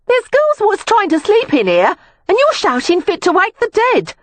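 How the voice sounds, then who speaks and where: A woman voicing coarse, nosy brothel women, calling out shrill and brassy. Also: wildly swooping pitch, moderate pace, no room sound, heavily acted. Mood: heckling.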